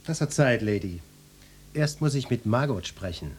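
An actor playing a cocky, macho police detective in a radio play, brusque and swaggering, with a flirtatious streak. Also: male voice, medium pitch, animated, normal speed, a little hiss or hum, lightly acted. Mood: curt, self-important. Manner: brusque, swaggering, mock-official.

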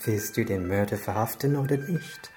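A man's voice: on the low side, moderate pace, a little mellow, faint music behind, close to an everyday voice. A man in a German radio crime drama playing a suave, menacing Chinese crime boss who is genial but insinuating and commanding. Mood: pressing, menacing.

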